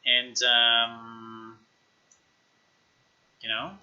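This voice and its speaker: A man with a very sharp, forceful voice talks through software on a live stream. He is informal and halting, working things out aloud. The audio is slightly dull. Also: mid-range voice, monotone. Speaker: live streamer, game developer. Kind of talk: casual live-stream chat while demonstrating software.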